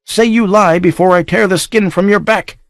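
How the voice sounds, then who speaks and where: A man's voice: on the high side, very animated, moderate pace, fairly bright tone, dry recording, clean studio sound, pitched above the performer's own voice. A man reading aloud, voicing a cruel count who roars and pants out accusations. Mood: raging. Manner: roaring, panting accusations. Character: raging cruel middle-aged count, half mad.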